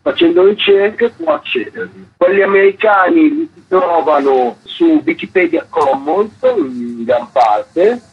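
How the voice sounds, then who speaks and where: Raspy, animated man explaining as interview guest. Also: high-pitched, measured pace. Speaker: photography exhibition curator interviewed as guest. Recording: dull, lo-fi line.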